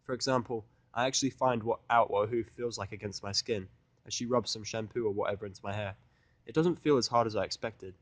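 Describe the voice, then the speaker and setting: A man's voice: fairly low pitch, quick pace, no echo. A man reading a first-person fan fiction audiodrama in a conversational, blunt, sardonic and profane manner. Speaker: fan fiction reader and narrator.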